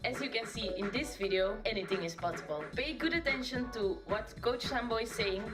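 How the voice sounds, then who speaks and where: A woman in her twenties introduces herself with bright encouragement, her voice a touch high. She sounds a little distant, with clear music beneath. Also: a little fast. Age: 20s. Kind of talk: self-introduction to camera.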